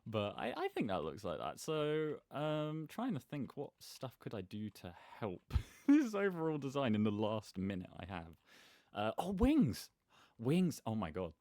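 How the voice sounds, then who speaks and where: A man's voice: medium pitch, wildly swooping pitch, measured pace, dark tone, dry recording, clean studio sound. A man giving casual, rambling gameplay commentary as host, with a self-deprecating manner. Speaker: gaming video host.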